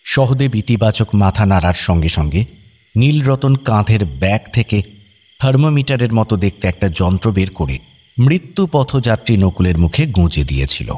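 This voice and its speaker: A man narrating a scripted radio story in a wry, expressive way. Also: on the low side, normal speed.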